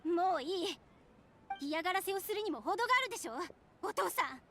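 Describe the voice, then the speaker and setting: A woman's voice: extremely high pitch, lively intonation, racing, background noise. A woman acting an upset, defiant young woman in a Japanese anime game. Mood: fed up, angry.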